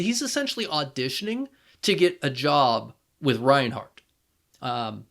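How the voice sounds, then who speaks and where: A man co-hosting a podcast, talking casually as a fan and thinking aloud in a halting way. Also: mid-range voice, fairly bright tone. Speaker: podcast co-host.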